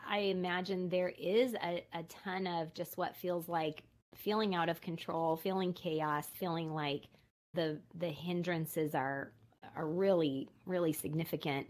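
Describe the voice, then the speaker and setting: A woman with a lowish voice hosts an interview. She asks halting questions and answers her guest with affirming remarks. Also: fairly even intonation. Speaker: podcast interviewer.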